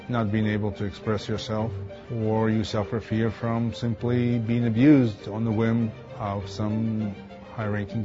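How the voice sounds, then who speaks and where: A middle-aged man with a Ukrainian accent and a fairly low voice tells his story earnestly, halting now and then. Music plays clearly underneath, and the audio is dull and lo-fi. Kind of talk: testimonial interview.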